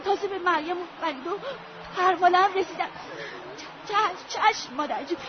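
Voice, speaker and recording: A woman's voice: extremely high pitch, fairly even intonation. A woman playing a desperate young eldest daughter who pleads and sobs over her parents. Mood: grief-stricken. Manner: pleading, sobbing, delirious. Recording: dull lo-fi with crowd behind.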